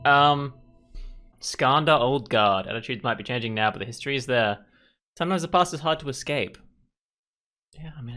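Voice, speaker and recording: A man's voice: mid-range voice, sharp tone. A man hosting a gaming video, casually commenting and reading game text aloud with conversational asides.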